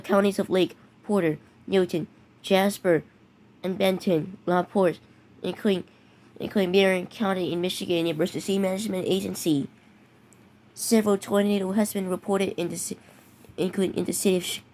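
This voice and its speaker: A voice reading out a homemade emergency broadcast, restarting phrases and tripping over words as the warnings go on. The pitch sits in an ordinary middle range. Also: female voice, fairly even intonation, a little mellow, a little hiss or hum, low-quality recording. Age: teenager. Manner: halting, many restarts and stumbles. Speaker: mock emergency alert announcer. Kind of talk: improvised fake emergency broadcast.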